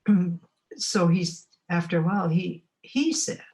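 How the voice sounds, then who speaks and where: A woman's voice: fairly low pitch, animated, dark tone. Elderly woman from Montana, reflective. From Montana, United States. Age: 80s. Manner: unhurried, reflective, often pausing to find words. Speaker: oral history interviewee. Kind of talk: oral history recollection.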